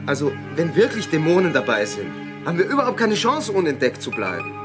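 A nervous young man speaks in a fairly high voice, hesitant and protesting. He is a timid, reluctant companion in a horror audio drama, with music behind him. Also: huge pitch swings, brisk. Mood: doubtful. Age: young adult. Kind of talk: horror radio drama acting. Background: prominent music.

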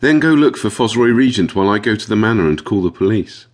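A man's voice: fairly low pitch, animated, fast talker, pitched above the performer's own voice. A man voicing an anxious, overwrought woman who runs a dog kennel, in a dramatic and fretful audiobook manner. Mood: anxious, resolute. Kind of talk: audiobook character voice.

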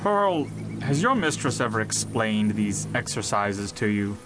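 An actor playing a stern, upright space marshal in a comic sci-fi radio drama, firm and accusing. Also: male voice, fairly low pitch, big pitch swings, a little slow, noisy background. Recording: music under the voice.

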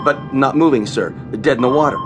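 An actor playing a deferential, slightly uneasy navy sonar operator in a radio drama. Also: male voice, mid-range voice, fairly quick, over music, close to an everyday voice. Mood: dutiful, uneasy.

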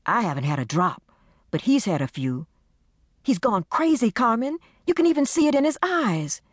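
A woman voicing a panicked young woman who pleads and demands in a frantic, shrill voice. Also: big pitch swings, normal speed, no echo, studio quality. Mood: frantic pleading.

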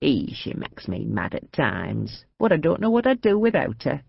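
A woman voicing a frail, chatty old northern lady with a high, quavering, mischievous voice in a storybook reading. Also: big pitch swings, moderate pace, gravelly, husky, dry recording, muffled recording, full character voice. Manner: gossipy, cheeky, confiding.

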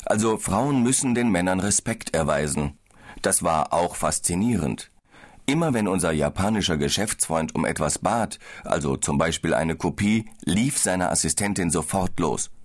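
Low male, calm and explanatory, scripted audiobook dialogue. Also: normal speed. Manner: calm, explaining, slightly tired. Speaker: business traveller in a language-course dialogue.

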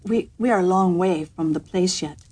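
A woman's voice: fairly low pitch, normal speed, mellow, husky. A woman voicing a small, humble kitchen girl in a storybook reading, speaking eagerly and sweetly. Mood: earnest.

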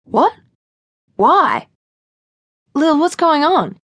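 A woman's voice: sits high, very animated, very slow, a bit bright, no room sound, pitched above the performer's own voice, heavily acted. A woman voicing a careless young man who rambles casually and sounds baffled.

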